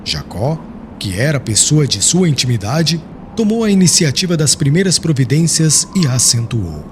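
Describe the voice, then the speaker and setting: A man's voice: a little high, big pitch swings, moderate pace. A man narrating an audiobook in a solemn, formal, literary storytelling style. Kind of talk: scripted dramatic literary narration. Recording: faint background noise.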